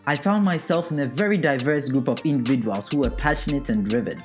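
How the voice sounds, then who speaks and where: A man's voice: medium pitch, brisk. A man giving an earnest, reflective scripted voice-over narration. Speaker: channel host. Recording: voice-over with loud music. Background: music.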